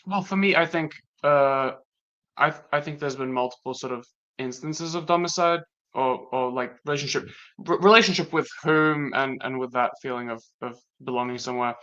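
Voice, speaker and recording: A young man from Latvia, with Latvian as his first language, speaks in a casual conversation. His voice is bright and forceful, and his pitch sits in the middle. He talks reflectively and haltingly, restarting often, and the recording sounds dull and lo-fi. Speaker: guest.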